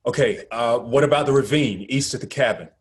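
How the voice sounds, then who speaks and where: A man acting in a script read as a rattled, foul-mouthed leader of a trapped group, speaking in a halting, urgent way. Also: mid-range voice, close to an everyday voice. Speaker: actor in a screenplay table read. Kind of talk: acted script reading.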